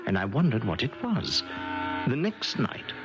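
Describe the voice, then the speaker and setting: An actor playing a mild, deferential assistant in a radio drama, speaking politely and a little haltingly. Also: male voice, medium pitch, big pitch swings. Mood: amazed, recounting. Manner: hesitant, polite, stammering slightly. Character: deferential, mild, eager male assistant to a banker. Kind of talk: 1970s radio drama acting and narration. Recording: dull 1970s radio broadcast, music behind.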